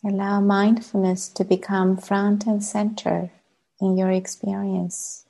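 A woman, a native Chinese speaker, leading a guided meditation as a teacher, gently and with long unhurried pauses. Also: medium pitch, flat delivery, gravelly.

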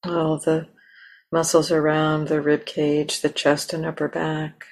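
Slow, low-pitched woman guiding meditation. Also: monotone, vocal fry, rough recording. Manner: gentle, unhurried, with long pauses. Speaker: meditation teacher. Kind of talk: guided meditation.

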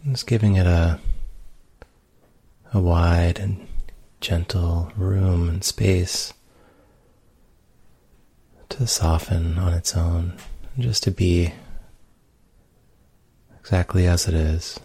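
A man leading a guided meditation in a gentle, unhurried manner with long pauses. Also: low voice, steady tone, breathy edge. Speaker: meditation teacher.

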